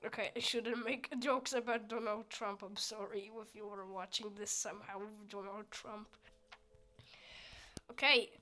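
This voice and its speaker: A woman's voice: medium pitch, fairly even intonation, measured pace, bright, forceful tone. A young woman with a youthful voice, chatting goofily while she plays a game and reads its lines aloud. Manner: chatty and goofy, with squealing outbursts. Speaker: gameplay streamer. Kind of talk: casual gameplay commentary, reading game dialogue aloud.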